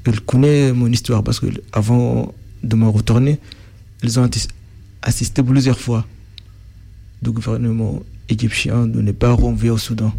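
Gravelly, husky man speaking haltingly. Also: medium pitch, flat delivery, mellow. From Sudan. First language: Arabic. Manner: searches for words, frequent restarts. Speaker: interviewee. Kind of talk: halting interview answers.

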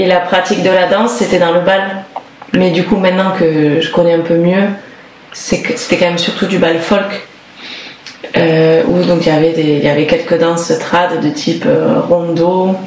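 Hesitant woman talking in an echoey noisy room. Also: lowish voice. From Toulouse area, southern France. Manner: thoughtful, hesitant, many restarts and fillers. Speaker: singer and musician interviewed. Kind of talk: casual conversational interview. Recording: roomy echo with clear background noise.

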